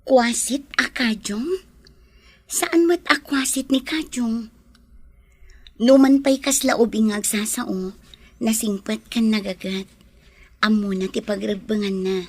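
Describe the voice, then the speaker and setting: A woman acting a brisk, skeptical village employer in an Ilocano radio comedy drama. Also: a little high, steady tone, dry recording. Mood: skeptical, reserved.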